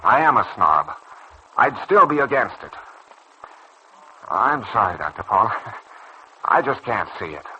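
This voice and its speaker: A man's voice: fairly low pitch, sing-song, measured pace, pauses often. A man acting in a vintage radio soap as a stubborn, judgmental young doctor, insistent and defensive. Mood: doubtful, stubborn. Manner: insistent, earnest, defensive delivery. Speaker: radio serial actor. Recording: dull, noisy old radio recording.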